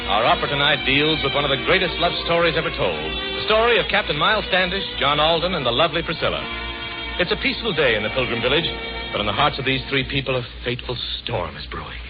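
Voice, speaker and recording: A male announcer delivers polished 1940s radio copy. His voice is fairly high and very animated, declaiming like a salesman on a dull old recording. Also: fast talker, music in the background.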